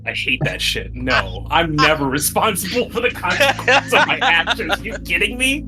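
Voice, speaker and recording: A man's voice: high-pitched, big pitch swings, moderate pace, pushed and bright. A man playing a tabletop game, bantering casually with grumbling, sardonic, sweary complaints.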